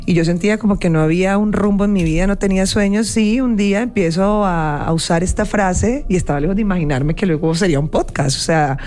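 A woman's voice: medium pitch, fast talker, few pauses. A woman from Cali with a Colombian accent, chatting casually as a podcast guest in an interview. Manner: animated, laughing, uses vos.

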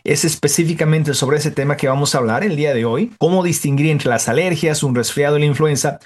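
A man's voice: a little high, brisk. A man hosting a health interview program, introducing topics and welcoming his guest in a chatty way. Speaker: health show host.

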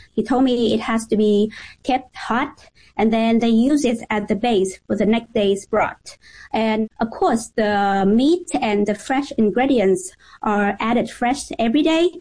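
A female journalist with a Vietnamese accent and a fairly high voice. She gives an informal, descriptive account as a guest in a radio interview. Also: measured pace, a little mellow, rough recording.

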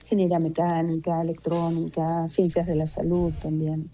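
A middle-aged woman with an Argentine accent giving a conversational interview as a research guest, explaining haltingly and often checking with little tag questions. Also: low-pitched, monotone, mellow. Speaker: interview guest, university research executive and physicist.

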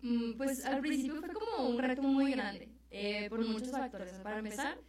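A woman's voice: high voice, normal speed, a little mellow, heavy reverb, distant mic. A young woman, a student guest chatting in a radio interview with lots of fillers. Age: young adult.

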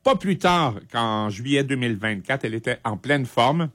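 A middle-aged man with a Quebec French accent, presenting a music segment on radio in a conversational, halting, informative way. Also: medium pitch, animated, a bit bright, dry recording, clean studio sound.

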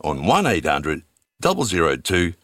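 A man's voice: deep voice, huge pitch swings, normal speed, a bit bright. A man reading a scripted radio advertisement, reassuring and urging listeners to call a hotline. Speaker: ad voice-over.

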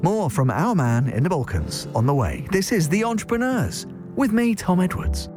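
A man hosting a radio show, reading a scripted introduction in a smooth, measured way. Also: sits high, big pitch swings, music in the background.

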